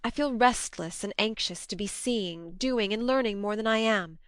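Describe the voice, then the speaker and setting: A woman acts out a young elder sister from a classic storybook. She is brisk, blunt and affectionate, with a clear, smooth voice in a dry recording. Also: mid-range voice, no echo, natural voice. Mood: restless, earnest.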